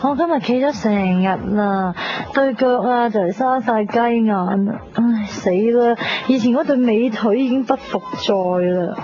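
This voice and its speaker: An actress playing a vain, sassy young fashion lover in a Hong Kong Cantonese radio drama, whining and bantering with English words mixed in. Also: female voice, fairly high pitch, measured pace, hardly any pauses, warm tone, a little hiss or hum, lightly acted. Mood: whiny, weary. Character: sassy, vain, fashion-obsessed young woman, comically whiny. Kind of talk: 1990s radio drama acting. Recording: dull 1990s radio drama recording. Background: faint music.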